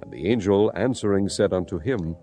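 A man's voice: low-pitched, brisk, mellow. A man narrating scripture dramatically, in a solemn storytelling manner. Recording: music behind the voice.

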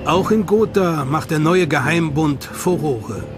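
High-pitched male documentary narrator. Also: animated, measured pace. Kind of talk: scripted narration. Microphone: studio mic. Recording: studio voice-over over loud music.

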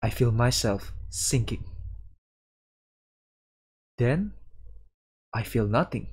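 A man reading a visual novel aloud as a streamer, with casual commentary and halting delivery. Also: mid-range voice, lively intonation, darkish tone, breathy edge. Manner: halting delivery, sprinkling in Japanese words. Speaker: VTuber streamer.

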